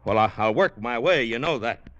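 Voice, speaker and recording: A man acting a tall, embittered, unstable Marine in 1940s radio style, with rough, slangy, hesitant soldier talk. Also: medium pitch, animated, normal speed, a bit bright, no echo, dull audio, close to an everyday voice. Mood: pleading. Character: tall embittered Marine gone half-crazy, threatening yet lovesick. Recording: old-time radio broadcast recording.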